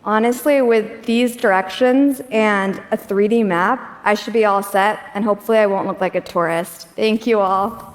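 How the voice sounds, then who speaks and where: A woman running a live stage demo, chatty and quick to laugh. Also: mid-range voice. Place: large auditorium stage. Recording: long hall reverb.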